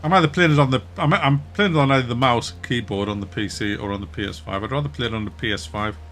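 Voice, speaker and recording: A man with a Wearside Mackem accent from near Durham, casually chatting with viewers during a game stream, relaxed and chatty, often laughing and trailing off. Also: mid-range voice, fast, faint music behind. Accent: Wearside (Mackem) North East English. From North East England, near Durham. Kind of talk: casual live-stream chat reading viewer comments.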